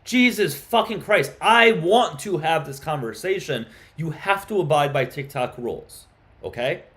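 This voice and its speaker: A man's voice: on the high side, expressive, a little slow, fairly bright tone. A man hosting a live stream, chatting casually with viewers and arguing insistently with callers. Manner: chatty, turns heated and insistent in arguments. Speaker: livestream host.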